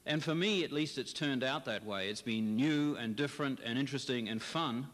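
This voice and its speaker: A middle-aged man, a history professor, talks in a chatty, unhurried way, often pausing on fillers. His voice carries a long reverb, as though he were recorded in a big hall. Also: mid-range voice, fairly bright tone. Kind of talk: interview answers.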